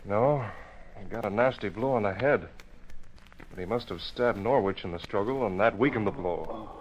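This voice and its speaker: Low-pitched investigator for vintage radio mystery, played by a man; composed, decisive, explanatory. Dull, noisy old recording. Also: normal speed, lightly acted. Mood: explaining, decisive. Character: calm, decisive man solving a museum murder. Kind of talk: old-time radio drama acting.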